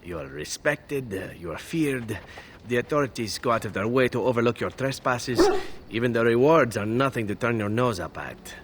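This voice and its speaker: An actor playing a suave, roguish and unrepentant elf assassin in a fantasy video game, with a silky, theatrical delivery. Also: male voice, medium pitch, expressive, normal speed, noisy background, lightly acted. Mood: amused, smug.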